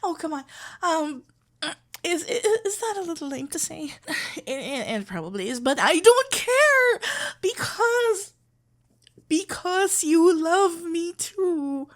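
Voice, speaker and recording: A woman playing a flustered, lovestruck young florist in an ASMR roleplay, stammering and halting, breathless and giddy. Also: very high voice, very animated, full character voice. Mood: overjoyed, tearful.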